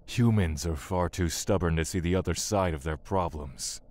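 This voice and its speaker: An actor playing a brooding, stoic god of death in an audio drama, speaking deliberately. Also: male voice, deep voice, darkish tone, lightly acted. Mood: quiet, tender.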